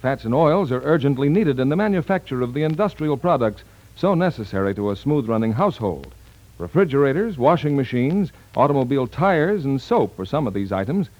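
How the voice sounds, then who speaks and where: A man's voice: mid-range voice, very animated, fairly quick, a little mellow, highs cut off. An American man reading scripted announcements as a 1940s radio announcer. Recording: band-limited 1940s radio broadcast recording.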